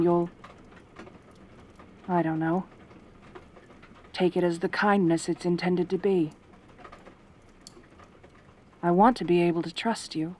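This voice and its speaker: Slow-speaking lonely centaur girl, acted by a woman. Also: fairly low pitch. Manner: hesitant, halting, pleading. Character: lonely, possessive centaur girl, tender and anxious captor. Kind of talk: intimate ASMR audio roleplay.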